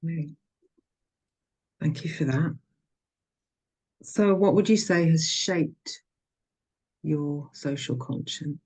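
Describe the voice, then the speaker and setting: Brief: mellow, breathy woman asking polite interview questions. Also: low-pitched, slow, long pauses, poor audio.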